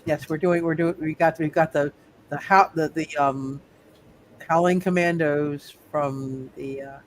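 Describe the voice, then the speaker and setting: A man running a live roleplay game as game master, chatting casually and often halting to repeat himself. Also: a little high, steady tone, slight background noise, low-quality recording.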